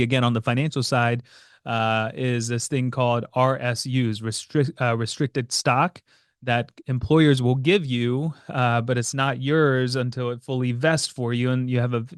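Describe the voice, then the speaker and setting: A man co-hosting a podcast as a financial planner, explaining in a casual, halting way with many fillers. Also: mid-range voice, dry recording, studio quality. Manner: hesitant, many fillers and restarts.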